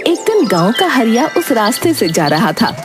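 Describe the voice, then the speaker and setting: A woman's voice: fairly high pitch, big pitch swings, moderate pace. A woman narrating a scripted folk tale as the storyteller. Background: music.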